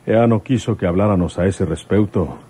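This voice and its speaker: Sober country father, deep husky voice, folksy, radio drama. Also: a little fast, dark tone. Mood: troubled, courteous. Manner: folksy rural turns of speech. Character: rustic, sober older father of a grown son.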